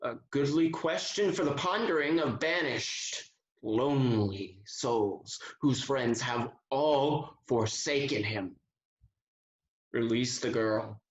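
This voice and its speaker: A man's voice: mid-range voice, slow, lightly acted. A man playing an earnest, commanding colonel and romantic lover in a theatrical period comedy, recorded with a dull, echoey room sound. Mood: stern, angry.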